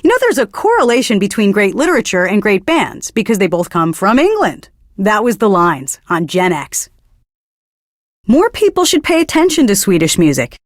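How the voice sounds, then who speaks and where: Woman acting as young female game radio DJ, literary, pretentious, earnest patter, mid pitch, dry close recording. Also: sing-song, moderate pace, no echo, lightly acted.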